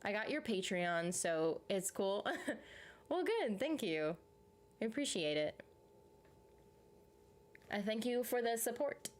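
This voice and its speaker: A woman's voice: mid-range voice, big pitch swings, takes it slowly, pauses often. Woman, Southern US accent, YouTube host, chatty live stream banter. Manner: chatty, rambling, answers chat comments. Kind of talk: casual live chat with viewers.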